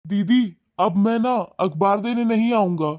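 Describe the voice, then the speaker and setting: A man's voice: sits high, a little slow, darkish tone, dry recording. A man voicing a humble, hardworking young male newspaper seller in a cartoon, talking politely and deferentially. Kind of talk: cartoon voice acting.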